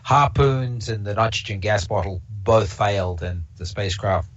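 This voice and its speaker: A man's voice: lowish voice, normal speed. Rambling male presenter, dull lo-fi audio. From Canada. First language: not English. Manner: rambling with frequent fillers and restarts. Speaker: observatory talk presenter. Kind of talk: casual explanatory talk. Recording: slightly dull lo-fi stream audio.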